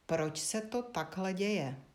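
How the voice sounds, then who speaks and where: A woman instructor guiding a body-awareness lesson, giving short spoken directions with frequent pauses. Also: mid-range voice, expressive.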